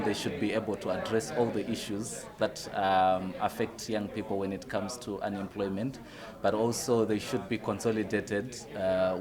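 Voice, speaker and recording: A young man from Zimbabwe, a youth activist giving a measured, earnest statement with frequent fillers. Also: fairly low pitch. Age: young adult. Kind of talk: short prepared statement to camera.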